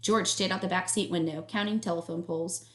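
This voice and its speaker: A woman reading a story aloud like a teacher, pausing to explain and check understanding with young listeners. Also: on the low side, steady tone, a bit bright. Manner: instructional, checks understanding, affirms listeners' answers. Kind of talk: read-aloud narration with questions to young listeners. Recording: echoey room sound.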